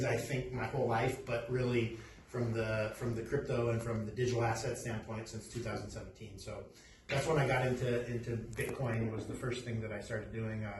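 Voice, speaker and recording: A middle-aged man from Peru, a company CEO, introducing his background in a halting, casual way with many restarts. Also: mid-range voice. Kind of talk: casual self-introduction talk. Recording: echoey room, distant mic, faint noise.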